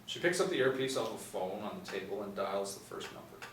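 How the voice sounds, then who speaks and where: Middle aged guy reading script directions, lowish voice, echoey room mic far off. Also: expressive, a little fast, fairly bright tone. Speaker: narrator of stage directions. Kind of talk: scripted narration at a screenplay table read. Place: small indoor room, seated group reading. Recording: roomy, distant mic, faint room noise.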